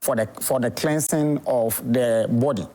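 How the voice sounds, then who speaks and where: A man's voice: medium pitch, a little slow, warm tone. A Ghanaian man, an herbal doctor, explaining remedies as a TV interview guest in a hesitant way, with many fillers.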